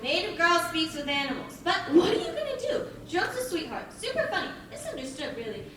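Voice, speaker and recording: A woman's voice: extremely high pitch, big pitch swings, brisk, fairly bright tone, heavily acted. A woman on stage playing a feisty young girl warrior, sarcastic and breezy in modern slang. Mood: breezy, amused. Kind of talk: live stage comedy acting. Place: theatre stage, live performance. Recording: very echoey theatre, distant mic, clear noise.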